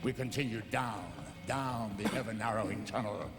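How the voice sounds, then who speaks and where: A man's voice: fairly low pitch, moderate pace, lightly acted. An actor playing a vengeful Venetian nobleman who narrates a gothic audio drama, theatrical and brooding, seething beneath sly false courtesy. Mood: brooding, gloating. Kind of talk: melodramatic gothic radio drama narration and dialogue. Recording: music under the voice.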